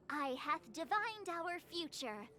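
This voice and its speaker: Brief: high bright cheeky girl diviner, mock-solemn. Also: full character voice. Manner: playful, mock-grand. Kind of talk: game cutscene voice acting.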